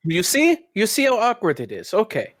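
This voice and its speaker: Man with a slightly high voice, role-playing. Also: wildly swooping pitch, normal speed, no echo, studio quality. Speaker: tabletop role-player and podcast presenter. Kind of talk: casual in-character play and narration.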